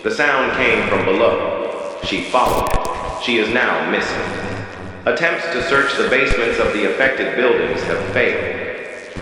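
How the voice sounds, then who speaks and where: A man playing a solemn, measured official announcer reading an ominous emergency broadcast for an analog horror piece. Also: medium pitch, pushed and bright. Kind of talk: scripted analog horror public safety announcement. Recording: cavernous echo and reverb, noisy tape.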